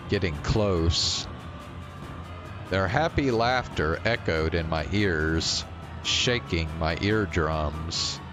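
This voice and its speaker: A man streaming a visual novel, reading its first-person text aloud as dramatic narration in deliberate, measured phrases. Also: deep voice.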